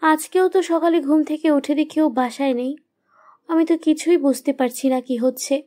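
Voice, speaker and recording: A very high-pitched young wife played by a woman tells her cartoon horror story. She sounds anxious and suspicious of her husband, with dramatic, troubled delivery. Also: darkish tone, lightly acted. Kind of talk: first-person scripted horror story narration.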